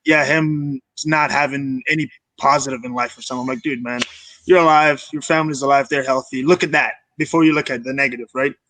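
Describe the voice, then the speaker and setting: A man's voice: medium pitch, fairly even intonation, quick pace, fairly bright tone, no room sound, low-quality recording. A young man from Kenya chatting casually and earnestly as an interview guest. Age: young adult. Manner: earnest, informal. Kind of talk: casual chat.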